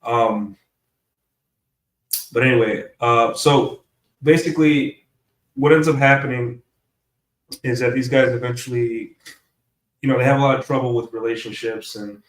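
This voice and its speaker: A man speaking at an ordinary middle pitch, his voice thick and stuffed-up from a cold. He rambles through a loose livestream monologue and keeps tagging his points with a questioning "right?". He is on a desk mic run through an audio interface. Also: steady tone, unhurried, long pauses. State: congested from a cold. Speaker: streamer.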